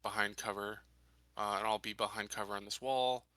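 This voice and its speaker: A man with a low but strikingly bright voice chats as a tabletop game player. He plans his moves aloud in a casual way. The sound is dry and close. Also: flat delivery, fairly quick, dry recording.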